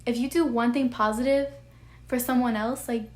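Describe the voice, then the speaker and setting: A young woman talks straight to her viewers in a soft, sweet voice that sounds almost childlike and sits a little high. She is earnest and rambling, with her thoughts spilling out between constant "like"s and "you know"s. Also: steady tone, normal speed. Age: young adult. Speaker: vlogger. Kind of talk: heartfelt personal talk to camera.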